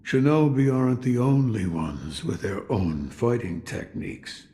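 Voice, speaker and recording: An actor playing a weary, brooding old sculptor of Buddhas in a video game, speaking in a deliberate, cryptic way. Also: male voice, mid-range voice, sing-song, background noise. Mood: grave, brooding. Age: older. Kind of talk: scripted video game dialogue.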